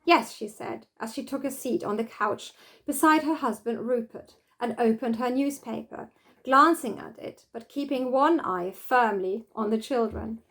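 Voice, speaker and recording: High-pitched woman reading a children's story. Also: unhurried, fairly bright tone, poor audio. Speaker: storybook reader. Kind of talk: expressive scripted children's story narration.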